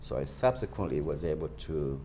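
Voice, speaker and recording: A man's voice: rumbling low, expressive, normal speed, noisy background. An older man from Barbados, a pastor, answering interview questions earnestly with many restarts.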